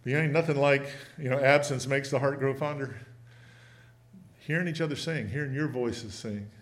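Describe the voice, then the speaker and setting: A man preaching a sermon in a church, folksy and conversational, slowing down to read scripture with emphasis. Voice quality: big booming voice. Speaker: preacher teaching a church lesson. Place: church sanctuary.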